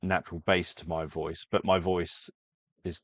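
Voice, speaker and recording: Guy w a deep monotone voice rambling to viewers about his videos, dry close mic. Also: unhurried, dry recording. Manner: rambling, self-correcting explanation. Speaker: sleep story creator. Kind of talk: casual talk to viewers.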